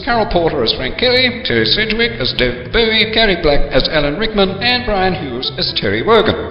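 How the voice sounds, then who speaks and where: A man's voice: high-pitched, distant mic. A man reading scripted comic narration in a wry, mock-formal manner. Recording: dull, echoey, reverberant audio with faint music.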